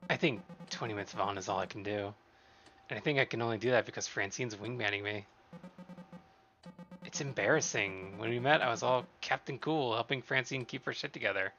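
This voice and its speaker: Man playing an anxious, self-doubting friend, bright voice. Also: lowish voice. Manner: hesitant, self-deprecating. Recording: dull lo-fi sound, faint music.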